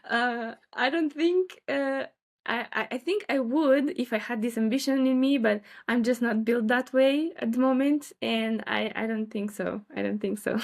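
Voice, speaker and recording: Young woman, fairly high voice, thoughtful, hesitant fillers. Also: normal speed, vocal fry. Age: young adult. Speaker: interview guest, freelance designer. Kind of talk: casual interview chat.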